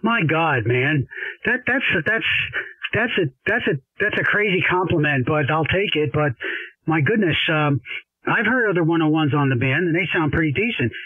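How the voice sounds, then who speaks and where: A man's voice: fairly high pitch, expressive, moderate pace, breathy edge, dry recording. A man chatting casually over ham radio in a rambling, folksy, enthusiastic way, as an amateur operator. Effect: transmitted over ham radio. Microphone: desk radio microphone. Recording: narrow phone-like shortwave radio sound.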